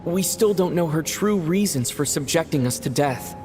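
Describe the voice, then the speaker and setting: An actor playing a calm, reserved traveling hero in a video game, with a measured and thoughtful delivery. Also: male voice, fairly high pitch, background noise, natural voice. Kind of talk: video game dialogue acting. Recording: studio voice under clear game music. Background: background music.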